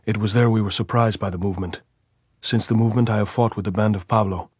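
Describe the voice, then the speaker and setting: A man voicing a quick-witted, nervy young Spanish guerrilla who shouts his answers and cracks sardonic jokes. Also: on the low side, steady tone, slightly rough, no echo, studio quality. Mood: urgent.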